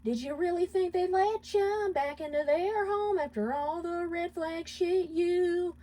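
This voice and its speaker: Woman doing a stubborn female imp, sung lines, high and soaring, mellow tone, slow. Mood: accusing.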